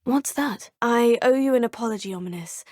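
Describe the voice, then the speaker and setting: A woman's voice: fairly high pitch, a little fast, a little mellow, no echo, clean studio sound, lightly acted. An actress voicing a polite young female student witch in her fifth year, speaking formally to her teachers in game voice lines. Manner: polite and formal, addressing teachers as sir and professor. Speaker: player character. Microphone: close studio mic.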